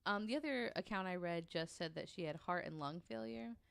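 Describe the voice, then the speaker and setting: A woman's voice: lowish voice, a little fast, vocal fry, smooth, no room sound. A woman telling a researched story on a podcast in a casual, conversational way, with an informal, hesitant delivery.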